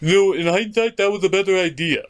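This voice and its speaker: A man's voice: high voice, brisk, close to an everyday voice. A man voicing a smug, self-important puppet sidekick in comedy banter. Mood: smug, indignant.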